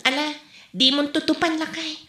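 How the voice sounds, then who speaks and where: A woman's voice: high voice, little pitch movement, moderate pace, fairly bright tone, echoey room. A woman playing a plain-spoken married village woman who frets about money in a comedy radio drama. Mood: exasperated.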